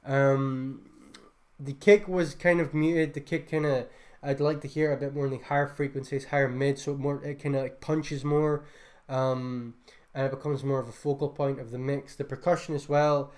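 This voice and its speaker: A man's voice: mid-range voice, level tone, moderate pace, darkish tone. A man, a music producer, reviewing beats in a casual livestream, laid-back and enthusiastic, loose with fillers. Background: faint beat music.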